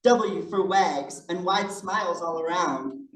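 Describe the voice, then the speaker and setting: A person reading picture books aloud to children in an animated, encouraging way, with chatty asides. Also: medium pitch, slow. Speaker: drag storytime reader. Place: echoey indoor room, library event. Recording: roomy, noisy, distant mic.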